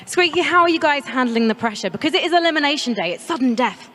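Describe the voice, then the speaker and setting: Broadcast interviewer, woman, bright higher voice, teasing, long hall reverb. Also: lively intonation, fast. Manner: bubbly, teasing. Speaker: on-site interviewer. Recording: echoey arena hall.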